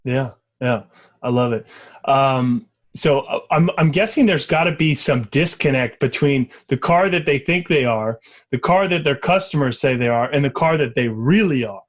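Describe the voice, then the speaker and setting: A man hosting a podcast interview, relaxed and affirming, with halting restarts when he asks questions. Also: mid-range voice. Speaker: podcast host. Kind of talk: casual interview chat.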